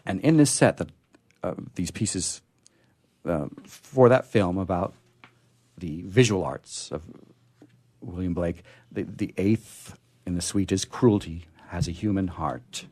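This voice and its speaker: Male, very low voice, poetry reader, measured delivery, casual commentary. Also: mellow. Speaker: poetry reader and musician on a radio program.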